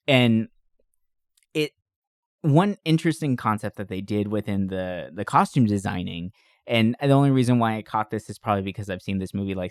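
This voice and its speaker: Animated male podcast host, dry close sound. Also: on the low side, dry recording. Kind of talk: casual chat about movies.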